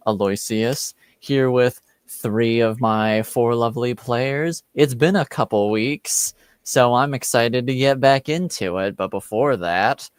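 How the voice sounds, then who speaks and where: A man's voice: medium pitch, slow, clean tone, no room sound. A man hosting a tabletop roleplaying stream as game master, casual and joking, often poking fun at himself. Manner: joking, self-deprecating.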